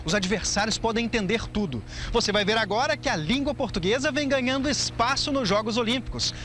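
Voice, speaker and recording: A man's voice: very high-pitched, a little fast, a bit bright, a little hiss or hum. A man with a Brazilian accent delivering a scripted television news report.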